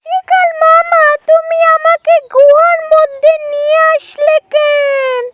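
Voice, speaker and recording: A woman's voice: extremely high pitch, flat delivery, slow, loud music behind the voice, pitched above the performer's own voice. A woman voicing a naive, childlike little rabbit in a cartoon story, with a plaintive manner. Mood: betrayed, pleading. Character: naive, trusting little rabbit, easily upset.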